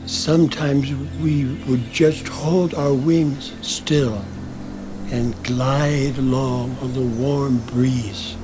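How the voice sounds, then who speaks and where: A man telling a bedtime story to help children fall asleep, speaking very slowly in a soft and soothing way. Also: medium pitch, steady tone. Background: soft music.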